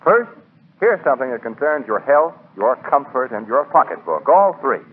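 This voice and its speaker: A man's voice: medium pitch, sing-song, normal speed, breathy edge. Old time radio announcer guy doing an ad, emphatic sales delivery, tinny recording. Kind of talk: 1940s radio advertisement read.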